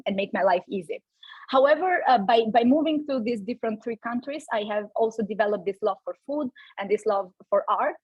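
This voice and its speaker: Young woman, slightly husky voice, dry close sound, halting delivery with many fillers. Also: a little high, no echo, muffled recording. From Albania. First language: Albanian. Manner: many fillers and repeated restarts. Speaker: invited speaker. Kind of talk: personal talk at a company event.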